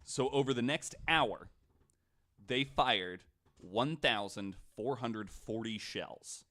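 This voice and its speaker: A man's voice: medium pitch, slow pace, a bit bright. A man telling a true story on a podcast in a casual, informal way, like a host talking among friends. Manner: informal, hedging, frequent "like".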